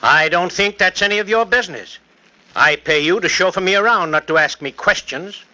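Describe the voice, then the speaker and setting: An actor playing a brusque, haughty wealthy lawyer in a 1940s radio drama. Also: male voice, high-pitched, lively intonation, normal speed, sharp tone, exaggerated voice, poor audio. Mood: curt, irritated. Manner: curt, haughty, snapping. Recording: old 1940s radio broadcast.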